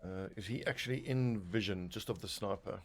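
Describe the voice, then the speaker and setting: A man's voice: on the low side, fairly quick, faint music behind. A man casually commentating his own strategy game play, thinking aloud and swearing now and then. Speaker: gaming video host.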